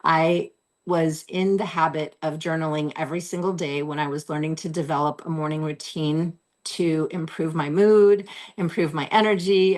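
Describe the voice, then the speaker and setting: A woman in her forties with a slightly low, a little hoarse voice talks straight to camera from a room at home. She coaches in an earnest, encouraging way and repeats her key phrases for weight. It sounds like a webcam recording. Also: darkish tone. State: losing her voice. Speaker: host. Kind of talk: motivational self-help talk to camera.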